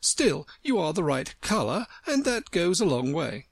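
A woman's voice: fairly low pitch, very animated, a little slow, vocal fry, slightly rough, no room sound, voice pushed up, full character voice. A woman voicing a critical, condescending talking flower with a severe manner in a storybook. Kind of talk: storybook character voice.

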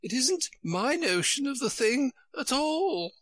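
A helpless, fretful queen from a storybook, acted out by a man reading aloud. The voice is pitched far up and swoops about with a melancholy, put-upon air. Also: big pitch swings, slow pace, fairly bright tone, no room sound, exaggerated voice.

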